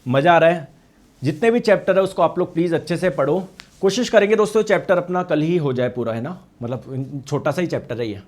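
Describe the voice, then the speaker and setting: A male teacher with a high voice and a hoarse throat, giving a lively science lecture. He poses questions and answers them himself, and he gives every key term twice, once in Hindi and once in English. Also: fairly quick. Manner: asks and answers his own questions; addresses students as friends. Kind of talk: online exam-prep class.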